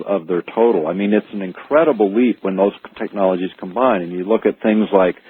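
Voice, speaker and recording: A man speaking as an expert guest on a radio call-in show, explaining things in a halting but emphatic way. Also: lowish voice, breathy edge. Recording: tinny phone-like radio line.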